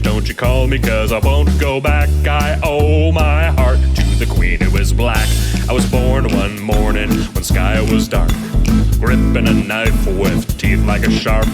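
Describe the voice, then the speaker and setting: Slow male ballad singer over loud guitar. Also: on the low side. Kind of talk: sung folk ballad, gritty outlaw song. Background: plucked guitar accompaniment.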